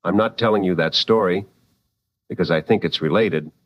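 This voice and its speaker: Late-night radio drama host: deep, deliberate, serious, slightly muffled band-limited sound. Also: male voice. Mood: grave, intriguing. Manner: deliberate, measured, dramatic phrasing.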